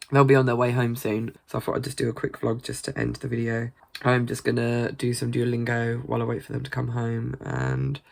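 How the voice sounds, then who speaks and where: A woman's voice: extremely low pitch, creaky, a little mellow. A British woman chatting casually in a vlog, rambling and swearing freely, sounding a bit congested. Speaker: gaming vlogger.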